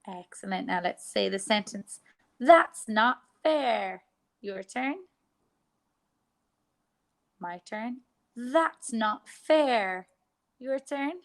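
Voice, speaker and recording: Irish lady teacher doing phonics for little kids, slow, a bit of gravel in her voice. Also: on the high side, animated. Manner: slow, exaggerated sounding out of letters. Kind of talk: phonics lesson for young children.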